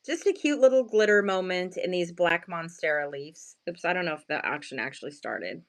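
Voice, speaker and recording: A woman with a slightly hoarse, just-woken morning voice, chatting casually and playfully as she sells handmade crafts on a livestream, often addressing viewers and poking fun at herself. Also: on the low side, lively intonation, normal speed. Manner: self-deprecating, playful slangy wording. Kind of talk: casual live sales chat with viewers.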